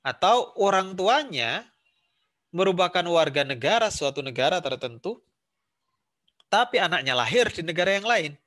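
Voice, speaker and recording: A man's voice: sits high, very animated, normal speed, pauses often, a bit bright. A man lecturing on civics with an Indonesian accent, explaining step by step with examples and repeating key points. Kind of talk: recorded university lecture.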